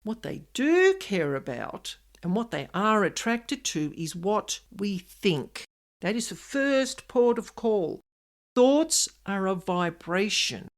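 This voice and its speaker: Female podcast host. Expressive, wide-ranging intonation, conversational solo delivery, emphatic and straight to the listener. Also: medium pitch, slow pace. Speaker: podcast host and paranormal specialist.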